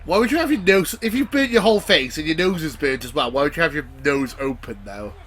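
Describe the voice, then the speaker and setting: A man's voice: on the high side, animated, fast, a bit bright. A man hosting a casual watch-along reaction show, sounding nasal from a cold and chatting jokily with fillers. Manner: chatty, jokey, thinks aloud with fillers.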